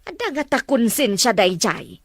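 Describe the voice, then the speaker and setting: A woman's voice: a little high, very animated, normal speed, dry recording. A woman with an Ilocano accent acting a concerned, fretting mother in a family drama. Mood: reproachful.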